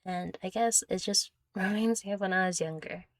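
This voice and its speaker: A woman's voice: mid-range voice, normal speed. A young adult woman chatting casually through viewer questions in a rambling, giggly, self-deprecating way, close to the microphone. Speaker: content creator answering viewer questions. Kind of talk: casual Q&A chat.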